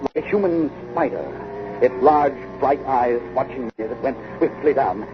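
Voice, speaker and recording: A man's voice: mid-range voice, moderate pace, over music, lightly acted. An actor playing an earnest male time traveller, recounting his adventure with urgent, theatrical delivery in an old-time radio drama. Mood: tense narrating. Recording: noisy, muffled vintage radio recording.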